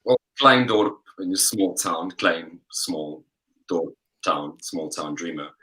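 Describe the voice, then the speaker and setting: A young man, a singer-songwriter guest, chatting in an interview over a video call in a relaxed, rambling way full of fillers. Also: low-pitched, slow pace, low-quality recording. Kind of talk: casual interview chat.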